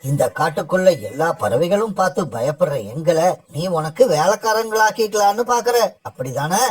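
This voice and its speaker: A bossy male crow from a cartoon, as an actor voices him, with a mid-pitched voice. He talks with urgent, pushy force. He sounds like a storybook bird with a lot of pride. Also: lively intonation, normal speed, husky. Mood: threatening. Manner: pleading, urgent. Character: proud male crow who turns desperate. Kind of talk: cartoon voice acting.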